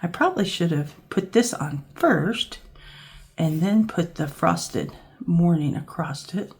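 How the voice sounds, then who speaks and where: A woman with a scratchy, hoarse voice from a cold, casually talking through a craft project as she works, thinking aloud. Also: fairly low pitch, slow. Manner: relaxed, thinking aloud while working with her hands. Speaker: craft channel host. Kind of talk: casual crafting tutorial narration.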